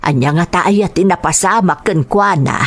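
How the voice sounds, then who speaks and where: A woman's voice: lowish voice, moderate pace, close to an everyday voice. A woman with an Ilocano accent playing a worried wife and mother in an audio drama. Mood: concerned.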